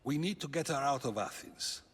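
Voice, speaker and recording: An actor playing a practical ally who urges action in a dramatic video game scene, sounding urgent. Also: male voice, a little high, expressive, fairly quick, fairly bright tone, breathy edge. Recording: music under the voice.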